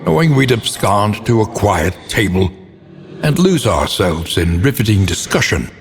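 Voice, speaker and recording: A grim, scholarly older narrator for a gothic horror game. He speaks very slowly in a lowish voice, brooding and solemn, with theatrical weight on ornate phrases. A long reverb trails his words. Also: big pitch swings. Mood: brooding reminiscence. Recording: long reverb with faint background noise.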